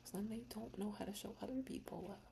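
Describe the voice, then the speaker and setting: A young woman speaking in a soft, slow whisper, reflecting emotionally in a personal ASMR-style video. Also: medium pitch, gravelly, a little hiss or hum. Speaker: ASMR creator.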